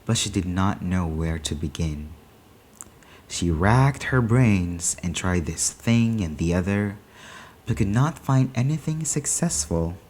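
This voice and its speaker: A man reading a short story aloud in a deliberate, dramatic storytelling manner. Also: low voice, animated, darkish tone. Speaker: story reader.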